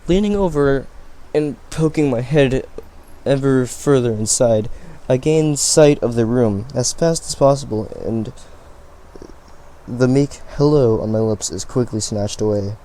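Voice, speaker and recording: Mellow-voiced man reading a game aloud. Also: medium pitch, a little slow. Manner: stumbling, restarting words. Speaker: let's play reader. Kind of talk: reading a visual novel aloud.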